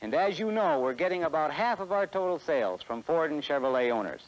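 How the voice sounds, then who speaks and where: A man's voice: on the high side, big pitch swings, a little fast, rough recording. A man in his forties with an American accent giving a scripted sales presentation in a 1960s promotional film. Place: film studio beside a truck.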